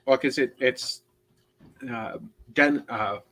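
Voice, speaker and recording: A man on a book club panel, explaining in a halting way with many restarts and checks of "right?". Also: mid-range voice, a bit bright, rough recording. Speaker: co-host and panelist. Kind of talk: casual online book discussion.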